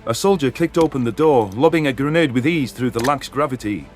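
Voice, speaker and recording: Man acting an earnest, grieving alien soldier, mid-pitched. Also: brisk, faint music behind, close to an everyday voice. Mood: grim, intent. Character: grieving, vengeful alien male soldier narrating his war. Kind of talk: first-person sci-fi story narration and dialogue.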